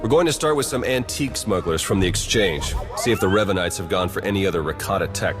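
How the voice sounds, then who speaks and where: A man's voice: low-pitched, quick pace, lightly acted. A man playing a wry, pragmatic spy agent in a video game, casual and matter-of-fact. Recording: loud game music underneath. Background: music.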